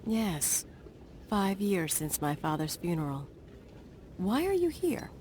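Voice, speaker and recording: An actress playing a confident, skeptical young adventurer heroine in a video game, brisk and assertive. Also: female voice, lowish voice, big pitch swings, darkish tone, a little hiss or hum. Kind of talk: video game cutscene acting.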